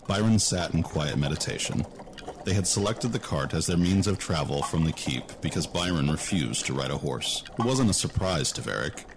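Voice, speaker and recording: A man's voice: deep voice, background noise. A man giving scripted narration for a fantasy audio drama.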